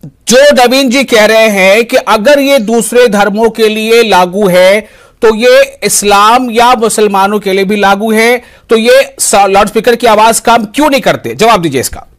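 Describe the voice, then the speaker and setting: A male news host with a high voice moderates a live debate. He presses guests with probing questions and cuts in insistently to steer them. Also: measured pace.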